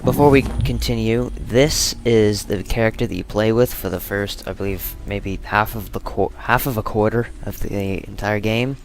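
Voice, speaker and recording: A man's voice: on the low side, moderate pace, few pauses, slight background noise. A man giving casual, meandering video game commentary with playful asides. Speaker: gaming channel host.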